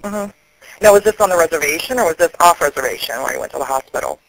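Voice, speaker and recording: A man, an activist, explaining things in a serious phone conversation, often halting and restarting. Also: a little high, lively intonation, creaky, fairly bright tone, rough recording.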